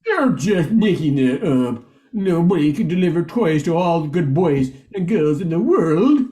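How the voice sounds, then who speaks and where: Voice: mid-range voice, animated, measured pace, mellow, natural voice. Someone playing a flustered, timid lion police chief. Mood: puzzled. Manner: nervous, hesitant, flustered. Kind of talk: comic radio play acting.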